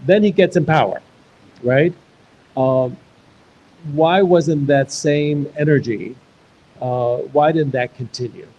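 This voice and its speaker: A man's voice: on the high side, slow pace, pauses often, a little mellow, muffled recording, slight background noise. Man from Iraq hosting a stage talk. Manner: conversational, thinks aloud with restarts. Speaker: host and interviewer. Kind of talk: live onstage conversation.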